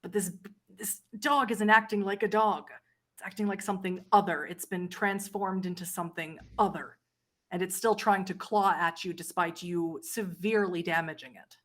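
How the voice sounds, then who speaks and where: A woman's voice: medium pitch, steady tone, moderate pace, a bit bright. A woman acting as game master, narrating a tabletop roleplaying game, explaining rules and describing scenes to players.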